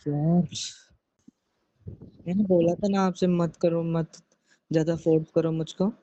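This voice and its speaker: A man's voice: on the high side, flat delivery, measured pace, dark tone, close to an everyday voice. A man playing a young husband in a casual couple skit, coaxing and chiding his wife in a repetitive way. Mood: scolding. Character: concerned young husband, soothing yet scolding his wife. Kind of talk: acted phone-recording style couple skit in casual speech. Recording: dull, lo-fi audio.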